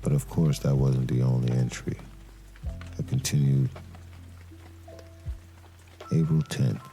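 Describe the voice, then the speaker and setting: An actor playing a brooding, suspicious detective who narrates a noir crime drama in a grave, deliberate manner. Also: male voice, extremely low pitch, fairly even intonation, warm tone, faint music behind, full character voice. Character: brooding male detective, terse, suspicious and methodical. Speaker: narrator and lead character of an audio crime drama.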